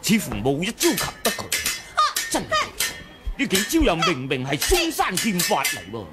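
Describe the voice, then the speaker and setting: An actor playing a proud, menacing martial-arts master in a Hong Kong Cantonese radio drama, haughty and mocking. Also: male voice, high voice, wildly swooping pitch, heavy background noise. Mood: seething. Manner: haughty, mocking, drawn-out delivery. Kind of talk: 1980s radio drama acting. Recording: 1980 radio drama with music behind.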